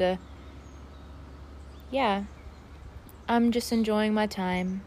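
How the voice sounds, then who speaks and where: A woman's voice: mid-range voice, a little mellow. A twenty-one-year-old woman talks openly and casually to her listeners, leaning on "like" and "uh" as she goes. She sits outside in her backyard, with faint background noise around her voice. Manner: chatty and candid, many fillers like "like" and "uh". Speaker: podcast host. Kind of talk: casual solo podcast chat.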